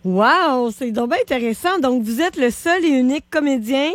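Animatrice radio québécoise, voix assez aiguë, enjouée, son sec. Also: female voice, sits high, expressive, moderate pace, dry recording. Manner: upbeat, effusive. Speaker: radio host. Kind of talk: radio interview.

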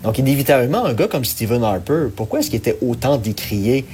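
A man's voice: mid-range voice, expressive, fairly quick, mellow, slightly rough. A man with a Québécois French accent giving political commentary, earnest and analytical with frequent hesitations. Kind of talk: radio commentary segment.

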